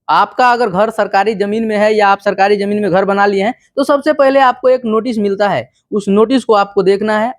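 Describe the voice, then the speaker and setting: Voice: mid-range voice, no echo, studio quality. A presenter with a Bihari accent explains news in an informal, didactic way, reading out passages and then spelling them out directly to the listener. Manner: didactic, repeatedly addressing the listener.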